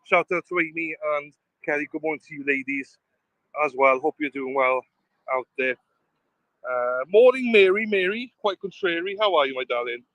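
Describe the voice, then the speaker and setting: A man's voice: on the high side, lively intonation, normal speed, fairly bright tone, no room sound. A man holding down a livestream, upbeat and greeting viewers by name in casual chat. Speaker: stand-in livestream co-host. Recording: dull lo-fi stream audio.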